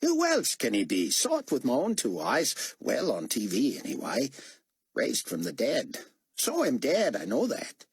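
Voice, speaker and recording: A man's voice: mid-range voice, a little slow, slightly rough, dry recording, clean studio sound, voice pushed up, heavily acted. A man voicing an overeager, rambling male motor pool chief with an Australian accent in an audiobook. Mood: excited. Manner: rambling, excited, keeps talking. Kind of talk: audiobook character voice.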